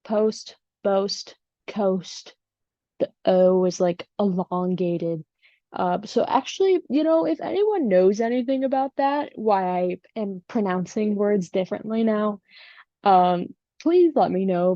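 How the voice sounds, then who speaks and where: A woman's voice: mid-range voice, a little slow, warm tone, dry recording, highs cut off. A woman with a Chicago accent hosting her own podcast, talking casually and rambling with lots of ums. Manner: rambling and chatty, with many ums. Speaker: podcast host. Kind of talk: casual solo podcast chat. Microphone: home setup without usual equipment.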